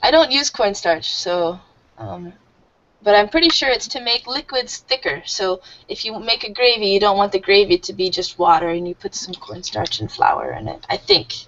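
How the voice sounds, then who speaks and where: A woman teaching an online English class, explaining slowly and warmly with many fillers. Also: mid-range voice, big pitch swings, dull audio, a little hiss or hum.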